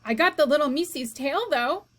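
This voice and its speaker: Woman voicing a dim clumsy servant girl, uneducated speech, slow talk, fairly high voice. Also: very animated, a bit bright. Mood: excited. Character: simple-minded clumsy young serving girl.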